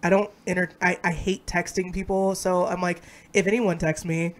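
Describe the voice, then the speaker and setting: Woman, low voice, hoarse from a cold, blunt, ranting, casual chat. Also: flat delivery, moderate pace. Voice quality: low, sick-sounding voice. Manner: ranting, outspoken. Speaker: podcast co-host.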